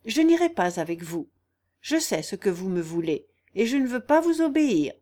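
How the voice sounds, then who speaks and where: A woman voicing a humble young peasant woman in a story, speaking plainly with gentle firmness. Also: a little high, animated, normal speed, close to an everyday voice. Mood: firm. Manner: plain, firm, gently resolute.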